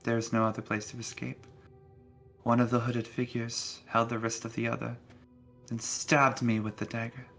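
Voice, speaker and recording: A man's voice: medium pitch, little pitch movement, vocal fry, a bit bright, soft background music. A man playing the ghost of a homeless elderly woman, telling things wistfully and wearily in a tabletop roleplaying game. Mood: sorrowful. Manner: slow, addresses listener as dearie.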